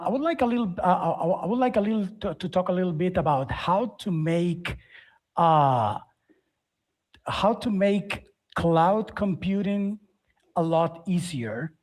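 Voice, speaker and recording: A middle-aged man gives an informal tech presentation, haltingly, often repeating words and phrases. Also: high-pitched, a little mellow. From Dominican Republic. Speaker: conference speaker, software developer.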